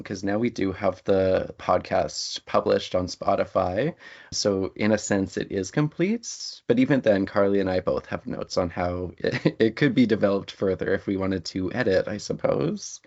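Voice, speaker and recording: A man speaking reflectively and in a measured way as a writer being interviewed. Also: fairly low pitch, gravelly, a little mellow. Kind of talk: thoughtful conversational interview. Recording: dull, lo-fi audio.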